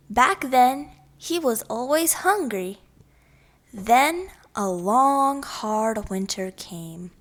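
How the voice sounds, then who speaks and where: A woman narrates a children's story in a high voice. She goes very slowly, setting out each short phrase deliberately, as in a read-aloud for young listeners. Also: a bit bright.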